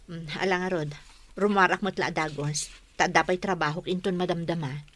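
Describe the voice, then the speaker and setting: A woman's voice: lowish voice, steady tone, moderate pace, fairly bright tone. A woman playing a responsible, protective, hardworking older sister in a radio drama. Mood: caring, firm.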